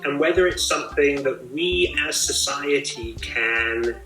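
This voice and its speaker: A man's voice: mid-range voice, measured pace, sharp tone, roomy sound, soft background music, poor audio. A man hosting a casual video chat, explaining his ideas at length in a thoughtful way. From Australia. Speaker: host.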